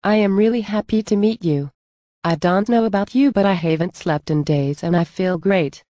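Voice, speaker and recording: A woman's voice: mid-range voice, moderate pace, mellow, dry recording, clean studio sound, close to an everyday voice. A woman playing a manic, chatty, party-loving happy dwarf in an amateur fairytale. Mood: elated. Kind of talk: amateur storybook acting.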